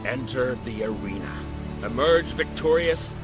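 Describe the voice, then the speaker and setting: Man playing animated, proud island arena master. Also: medium pitch, measured pace, natural voice. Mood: solemn, proud. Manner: ceremonial, archaic turns of phrase. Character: proud island arena master, solemn keeper of fighting tradition. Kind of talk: video game dialogue. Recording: music behind the voice. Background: game music.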